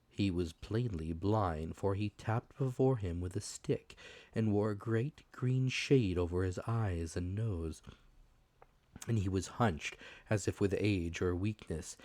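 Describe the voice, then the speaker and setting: A man's voice: low voice, dark tone, dry recording. A man reading a classic adventure novel aloud as dramatic audiobook narration.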